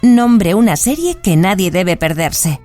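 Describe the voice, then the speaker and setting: A woman's voice: fairly low pitch, sing-song, measured pace, dark tone, soft background music. A woman voicing a female automated movie-booth machine that reads out quiz prompts in a formal announcing manner. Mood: formal prompting. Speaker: quiz host voice.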